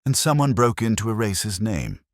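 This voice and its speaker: A man voicing an earnest, sharp young police sergeant who talks in a questioning, earnest way, recorded close on a studio mic. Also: fairly low pitch, big pitch swings, a little fast, no room sound, voice pushed up.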